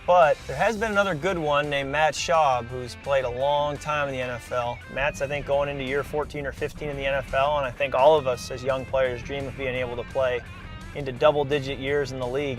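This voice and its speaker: Male host, mid pitch, earnest encouraging mentor, faint music bed. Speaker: host, pro quarterback mentoring draft prospects. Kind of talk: casual sit-down interview and voice-over narration.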